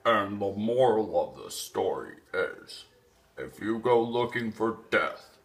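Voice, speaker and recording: A man's voice: on the low side, expressive, slow, vocal fry, heavily acted. A man playing a solemn storyteller, narrating the scripted moral of an amateur puppet show.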